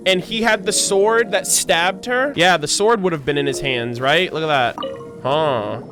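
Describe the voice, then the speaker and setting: Higher-pitched male game streamer. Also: a little slow. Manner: sweary, slangy, reactive. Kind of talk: casual live gameplay commentary. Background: game music.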